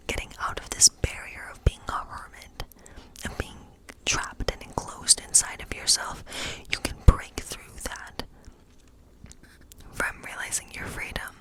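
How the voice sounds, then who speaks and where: A woman whispering gently and breathily in an ASMR role play as a kindly witch who reads tarot. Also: low-quality recording. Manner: gentle, slow, soothing reassurance. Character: kindly witch reading tarot in her woodland cottage. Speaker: ASMR performer playing a witch.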